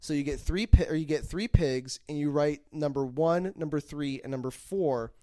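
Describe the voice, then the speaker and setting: A man's voice: fairly high pitch, moderate pace, a little mellow. A man in a casual comedic chat as a podcast co-host, rambling and acting out imagined bits of dialogue as he goes.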